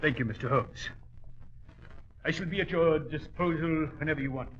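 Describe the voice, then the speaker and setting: An actor playing a desperate, bitter English lord in 1940s radio drama, with a British accent and agitated, melodramatic delivery. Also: male voice, a little high, moderate pace, muffled recording, background noise, lightly acted. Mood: subdued.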